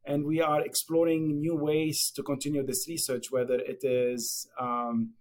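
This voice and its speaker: A middle-aged man from Lebanon with a Lebanese accent, answering as a guest on a podcast interview, speaking haltingly with many fillers and restarts. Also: on the high side, level tone, a little mellow. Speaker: pharmaceutical company executive, guest.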